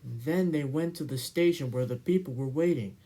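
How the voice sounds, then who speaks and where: A man narrating a children's storybook aloud in an amateur, expressive read-aloud style. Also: mid-range voice, normal speed, poor audio.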